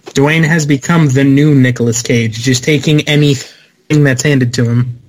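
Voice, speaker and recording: A man's voice: medium pitch, fairly even intonation, unhurried, rough recording. A man giving wry, sarcastic commentary in casual chat on a podcast panel. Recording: faint noise with clicks.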